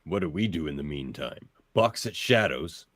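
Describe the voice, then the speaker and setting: A man playing a gruff, blunt, defiant tough-guy hero in a radio drama. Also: extremely low pitch, expressive, moderate pace, a bit bright, no echo, clean studio sound, full character voice. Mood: irritated.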